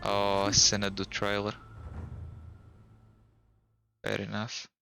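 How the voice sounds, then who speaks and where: A man's voice: on the low side, monotone, slow, vocal fry, bright, forceful tone, faint music behind. A man with a European Portuguese accent giving casual, offhand gameplay commentary as a streamer. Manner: offhand, mixes English interjections with Portuguese. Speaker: game streamer.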